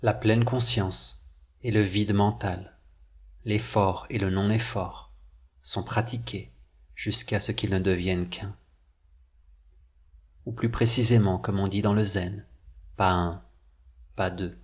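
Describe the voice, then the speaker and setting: A man narrating a scripted guided meditation, with measured, deliberate phrasing and pauses. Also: on the low side, fairly even intonation, breathy edge.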